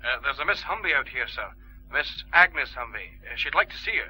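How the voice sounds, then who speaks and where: An actor playing a deferential male police constable in a radio drama, with a raspy voice. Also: mid-range voice, animated, a little fast, sharp tone, exaggerated voice, low-quality recording.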